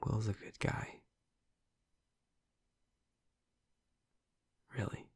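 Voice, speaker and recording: A man playing a gentle, earnest vampire boyfriend in an intimate audio roleplay, speaking softly and haltingly in a husky, breathy, hushed voice. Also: natural voice. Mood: tentative, gentle. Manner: halting, soft-spoken, confiding with long pauses. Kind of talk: intimate audio roleplay, ASMR-like soft delivery.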